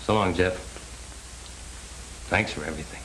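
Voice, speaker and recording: A man's voice: deep voice, normal speed, lightly acted. Man playing a determined wronged ex-convict, noisy old film. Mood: resigned, bitter. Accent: American. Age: young adult. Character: wronged young ex-convict, desperate, determined, noble. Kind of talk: 1930s crime film acting.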